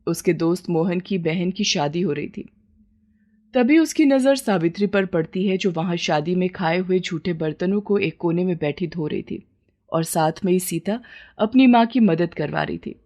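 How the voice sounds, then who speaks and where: A woman's voice: lowish voice, normal speed, warm tone. A woman narrating a scripted moral story as the storyteller.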